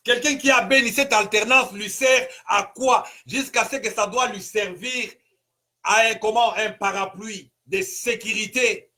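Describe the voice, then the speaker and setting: Congolese pastor, male, high and bright-toned, fiery rhetorical delivery with shouted peaks. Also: measured pace. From Congo (DRC). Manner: emphatic, rhetorical questions, bursts of shouting. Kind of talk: impassioned political sermon.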